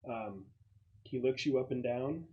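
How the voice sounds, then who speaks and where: A man's voice: mid-range voice, unhurried, highs cut off, a little hiss or hum. A man running a tabletop roleplaying game as game master, describing scenes casually and piecing them together aloud.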